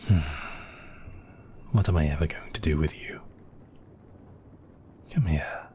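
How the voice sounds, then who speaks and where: Casting: a man as an ancient-world vampire count, very low and unhurried, possessive but tender, hushed ASMR murmur. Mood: soothing, affectionate. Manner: slow, hushed, intimate murmur with whispery moments. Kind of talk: romantic ASMR roleplay.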